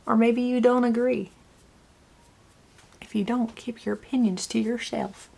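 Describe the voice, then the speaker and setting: A woman's voice: on the high side, steady tone, unhurried, creaky, warm tone. Snarky young woman, faint Southern twang. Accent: slight Southern US. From Connecticut and the US South. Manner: snarky, chatty, muttering asides. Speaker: beauty vlogger. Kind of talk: casual get-ready-with-me chat.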